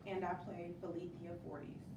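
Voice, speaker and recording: Low-voiced earnest woman in echoey room. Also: little pitch movement, normal speed, lo-fi sound. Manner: earnest, emphatic, repeats words for stress. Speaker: new stage play cast member. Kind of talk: casual chat, reflective. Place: indoor room with a group. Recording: echoey room, distant mic, noisy ambience.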